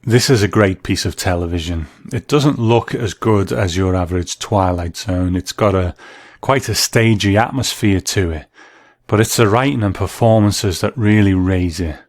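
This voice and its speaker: A man with a low voice narrates scripted commentary on classic drama. He is measured and analytical, recorded close and dry with no room sound. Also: a little mellow, dry recording.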